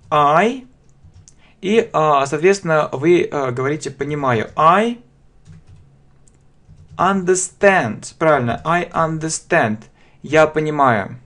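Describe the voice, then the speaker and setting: A man giving a beginner English grammar lesson in a video, often pausing on fillers and saying English examples slowly and with stress. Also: on the high side, fairly bright tone. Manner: slow, emphatic English examples with many "a" fillers. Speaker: English teacher.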